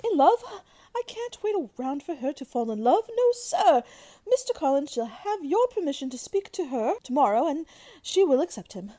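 A fretful middle-aged matchmaking mother, played by a woman in a radio play. Her voice is very high but soft-edged and mellow. She is imperious, theatrical and full of self-pity. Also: big pitch swings, normal speed. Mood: bossy, indignant. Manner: imperious, wailing, self-pitying. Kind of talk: theatrical radio drama acting.

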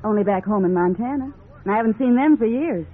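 An actress playing an anxious, earnest housewife in a 1950s radio drama, hesitant and worried. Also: female voice, fairly high pitch, expressive, fast, lightly acted. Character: anxious, earnest suburban wife and mother. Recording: tinny, narrow 1950s radio recording.

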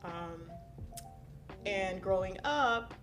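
A young woman from Haiti talks casually as a podcast guest, recounting her childhood with lively energy and easy laughter. Her voice sits at a middle pitch. Soft music plays faintly underneath. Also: very slow. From Haiti, raised in South Florida. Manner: animated, laughs while telling stories, many "um"s. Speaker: guest, school counselor. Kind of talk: casual interview storytelling.

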